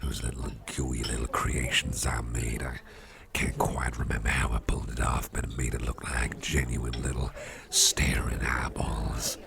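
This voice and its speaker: A man playing a jovial, impish old devil boss in a soft, rambling, genial ASMR roleplay chat with a gravelly voice. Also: flat delivery, heavily acted. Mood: musing, genial. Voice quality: gravelly, fry-laden deep voice. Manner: unhurried, rambling, genial, with repeated phrases. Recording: party crowd murmur behind voice. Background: party crowd chatter.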